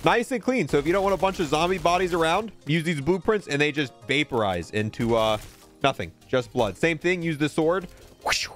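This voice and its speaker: A man's voice: a little high, expressive, a little fast, a bit bright, faint music behind. A man giving casual, chatty and opinionated review commentary over video game footage, with playful exclamations.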